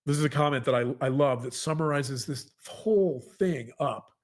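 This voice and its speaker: A man talking earnestly to a co-host in a halting, repetitive, emphatic conversational monologue. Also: mid-range voice. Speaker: podcast co-host, psychologist.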